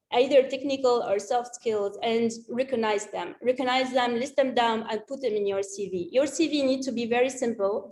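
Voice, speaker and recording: A woman's voice: fairly high pitch, a little mellow, slight background noise. A woman from Tunisia, giving an informal career talk online, often rephrasing in an earnest, hesitant way. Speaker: conference speaker, data science team lead. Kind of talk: informal career advice presentation. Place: remote video conference.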